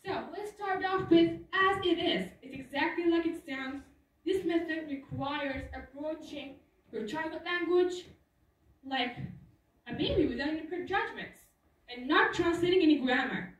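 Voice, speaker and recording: A teenage girl gives an earnest school talk, explaining things step by step. Her voice sits high, and the sound rings heavily around a large hall. She is picked up from some distance, off the microphone. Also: a little slow. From Turkey. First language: Turkish. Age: teens. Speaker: student speaker. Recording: echoey hall, voice from far off.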